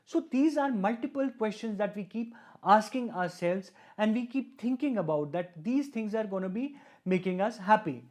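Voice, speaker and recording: A man's voice: high-pitched, lively intonation, moderate pace, a little mellow. Earnest male webinar host. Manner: earnest, repetitive, with frequent fillers. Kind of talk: formal webinar introduction.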